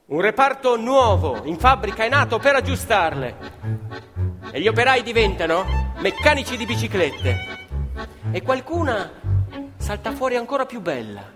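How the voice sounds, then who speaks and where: Factory worker, highish voice, theatrical radio storytelling, colloquial and dramatic, live music behind. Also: male voice, big pitch swings, normal speed. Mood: animated. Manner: colloquial, dramatic, acts out remembered dialogue. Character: fiery Italian factory worker recounting strikes and police charges. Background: live musical instruments.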